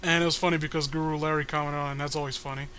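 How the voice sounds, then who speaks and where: High-voiced, bright comedic podcast man. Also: level tone, rapid-fire, slight background noise. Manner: sarcastic, joking. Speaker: podcast host reading a comic aloud. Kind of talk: comedic reading with casual commentary.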